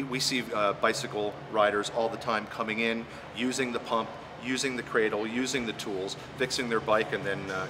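A middle-aged man who runs a parking garage, speaking in an on-camera interview with many "uh" fillers, recorded inside a parking garage. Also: fairly low pitch. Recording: noisy garage ambience.

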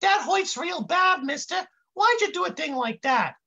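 Dim-witted intern kid, high voice, swooping pitch, mangled slangy grammar, comic storytelling. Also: male voice, animated, unhurried, vocal fry, sharp tone, dull audio, exaggerated voice. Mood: hurt, whiny. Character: big fat dim-witted male intern kid, innocently clueless.

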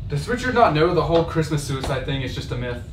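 A man's voice: fairly high pitch, very animated, fast. A young man playing a nagging, uneasy coworker and friend in an amateur table read. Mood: needling. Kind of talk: amateur table read of a dark comedy script. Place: home dining room, table read. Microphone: far camera. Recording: distant mic, echoey noisy room.

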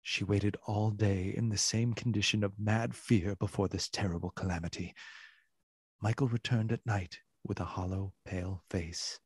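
A man narrating a literary radio play in a solemn, measured, dramatic way, with a husky voice. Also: fairly low pitch, fairly even intonation, no room sound. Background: music.

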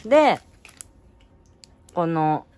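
A woman's voice: low voice, big pitch swings, drawn-out words, darkish tone. A woman co-hosting a family channel, casually chatting while unboxing anime goods and recounting each item.

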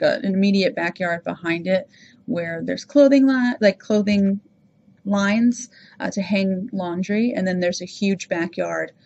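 Gravelly-voiced woman chatting casually. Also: mid-range voice, muffled recording. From Rhode Island, USA. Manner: chatty, self-reflective, with frequent fillers. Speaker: podcast host. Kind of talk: casual personal monologue.

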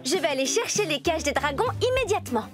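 A woman's voice: high-pitched, very animated, fast, music in the background. A woman playing an enthusiastic, doting zookeeper in a French cartoon dub. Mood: delighted.

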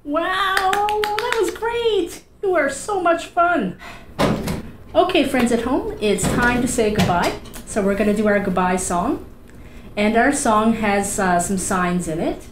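A woman's voice: fairly high pitch, slow pace, echoey room, background noise. A middle-aged woman with a Newfoundland accent, a children's librarian leading a storytime at home with a cheerful, sing-song, encouraging manner. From Canada. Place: small indoor room, seated in an armchair. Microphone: fixed camera at a few feet.

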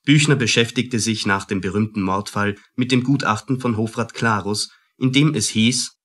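A man narrating a scripted documentary introduction in a measured, factual manner. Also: on the low side, fairly bright tone.

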